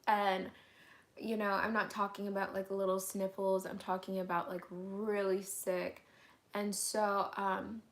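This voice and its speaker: A young woman, hoarse and getting over an illness, chatting candidly through a live Q&A at home, answering viewer comments with lots of "um"s and "like"s. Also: mid-range voice, flat delivery, unhurried. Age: young adult. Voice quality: raspy. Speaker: vlogger hosting a live Q&A. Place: at home, indoors.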